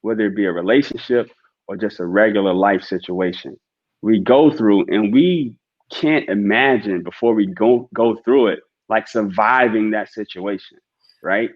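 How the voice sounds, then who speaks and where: A man's voice: lowish voice, normal speed, dull audio. A man guest on a podcast, talking earnestly and reflectively about relationships and faith, with a preacher-like rhythm and frequent "right?" asides.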